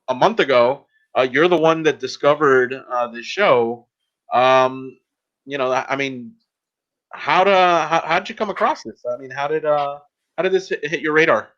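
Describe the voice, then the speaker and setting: A man hosting a casual podcast, speaking in a halting, hesitant way. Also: on the high side, a bit bright. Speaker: podcast host. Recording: dull, lo-fi sound.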